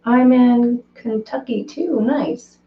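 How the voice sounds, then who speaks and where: A woman hosting a casual live stream from her fish room, chatting and answering viewer comments with a hoarse, cracking voice. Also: a little high, slow. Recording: roomy, slightly dull home recording.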